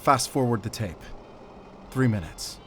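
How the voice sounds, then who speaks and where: A man's voice: medium pitch, animated, a little slow, fairly bright tone. An actor playing an earnest male captive who argues his case insistently in an audio drama. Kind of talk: cinematic audio drama acting. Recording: faint vehicle noise behind.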